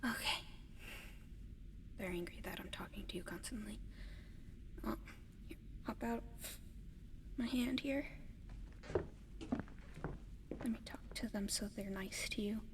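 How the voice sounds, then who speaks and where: A woman voicing a gentle, eager alien in an ASMR roleplay, whispering softly and haltingly to the listener, slow and breathy. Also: mid-range voice, close to an everyday voice. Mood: reluctant, reassuring. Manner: soft, hesitant, breathy whispering with halting pauses. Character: gentle, eager giant female alien adopting a human pet. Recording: long reverb, audible background noise.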